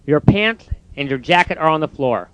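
A man putting on a patient, kindly frog who coaxes his friend along in a lifted, higher voice. It comes across smooth and clean, with a dull, lo-fi, dry sound. Storybook reading. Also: lively intonation, normal speed, dry recording. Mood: coaxing. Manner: brighter, higher and gently coaxing.

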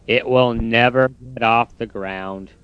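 A man's voice: medium pitch, fairly even intonation, slow, smooth, dry recording, muffled recording, pitched below the performer's own voice, natural voice. A man voicing a gloomy, easily discouraged toad in a storybook, with a mopey manner. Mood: glum. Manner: mopey, sighing.

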